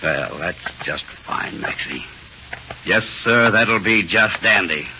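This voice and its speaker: A man's voice: low voice, animated, slow, heavily acted. A man playing a sadistic, gloating prison policeman in 1940s radio horror, taunting with sneering menace. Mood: smug menace. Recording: muffled, hissy 1940s radio recording.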